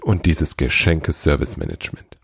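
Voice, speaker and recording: A man's voice: extremely low pitch, a little fast, gravelly, slightly rough, no room sound, clean studio sound, voice pushed down, full character voice. A man voicing a weary, kindly old Santa Claus in a storybook tale, ponderous and soothing.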